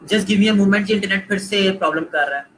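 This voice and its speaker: A man's voice: sits high, fairly quick, a bit bright, a little hiss or hum, rough recording. A man with a Pakistani Urdu accent giving a live online lecture, coaching students and repeating points while mixing in English phrases. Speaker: online course instructor.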